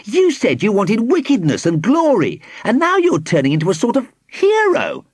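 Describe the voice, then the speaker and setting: A man's voice: high voice, huge pitch swings, normal speed, slightly rough, dry recording, studio quality, pitched above the performer's own voice, heavily acted. A man voicing an earnest, indignant young boy in a storybook, exclaiming with disapproval.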